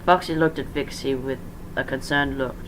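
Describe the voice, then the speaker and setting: Casting: amateur story narrator, middle-pitched, informal, prone to stumbles and self-corrections, slightly noisy recording. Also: steady tone, normal speed. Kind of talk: amateur dramatic story reading. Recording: faint background noise.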